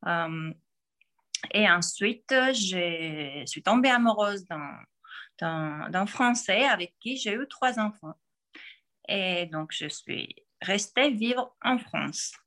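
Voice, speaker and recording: A woman in her forties with a Georgian accent, answering interview questions in a halting way, with frequent pauses and restarts. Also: medium pitch, expressive, a bit bright. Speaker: podcast guest.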